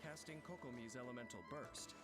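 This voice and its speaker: A man reading scripted game trailer narration in a measured, formal storytelling way. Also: mid-range voice. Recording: loud music under the narration.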